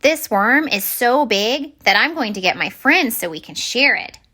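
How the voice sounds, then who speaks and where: A woman voicing a tiny, friendly young minnow in a picture book, chirping with earnest excitement. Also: a little high, measured pace, pitched above the performer's own voice, exaggerated voice. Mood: eager.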